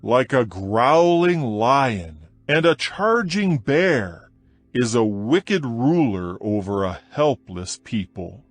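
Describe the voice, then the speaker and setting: A man reads scripture at a very slow, deliberate pace, each line weighed and solemn. His pitch rises and falls in a lively, expressive way. Soft music plays faintly beneath his voice. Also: no echo. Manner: slow, measured, solemn delivery. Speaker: scripture reader. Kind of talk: dramatized Bible reading. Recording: soft music bed behind voice. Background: faint background music.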